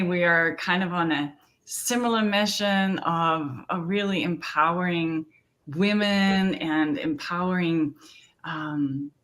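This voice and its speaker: A middle-aged woman from Denmark, a therapist and coach speaking earnestly in an interview and often asking "right?". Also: fairly low pitch. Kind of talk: conversational interview.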